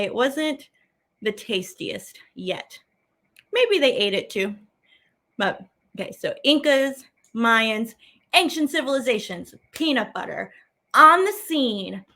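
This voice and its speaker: A woman's voice: fairly high pitch, sharp tone. A woman hosting a children's lesson as a teaching artist, speaking playfully and animatedly to viewers at home in simple, repeated phrases.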